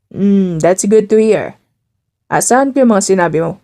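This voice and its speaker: A woman's voice: mid-range voice, animated, normal speed, mellow, lightly acted. A woman voicing a stern, aloof male CEO in an audio drama, curt and commanding. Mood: secretly amused.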